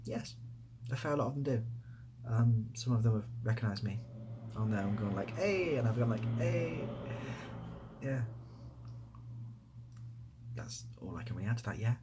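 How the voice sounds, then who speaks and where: A young man with an English accent chatting softly in an ASMR style, hesitant and rambling, often dropping to a near-whisper. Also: medium pitch, expressive, moderate pace, pauses often, noisy background. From near Bath, England. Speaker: ASMR video creator. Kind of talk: softly spoken ASMR casual chat answering questions.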